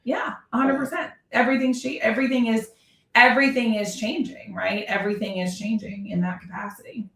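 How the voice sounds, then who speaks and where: A woman's voice: on the high side, steady tone, fairly quick, fairly bright tone, recorded from a distance. A woman talking in a conversational podcast style as host and coach, explaining emphatically with examples and repeated phrases. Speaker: podcast host and real estate productivity coach. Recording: roomy echo, away from the mic.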